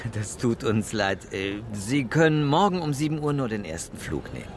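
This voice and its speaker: A man's voice: mid-range voice, sing-song, normal speed, slight background noise, close to an everyday voice. A man playing a polite but firm airline desk clerk, speaking formally in a naturalistic radio drama. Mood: apologetic. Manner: formal, apologetic service talk.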